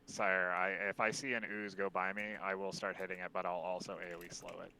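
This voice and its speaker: Deep-voiced man explaining raid tactics quietly. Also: little pitch movement, brisk, vocal fry, slight background noise. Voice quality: deep voice, speaks quietly on purpose. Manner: explaining, loose with many fillers. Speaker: streamer leading a raid strategy talk. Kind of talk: casual gaming voice chat.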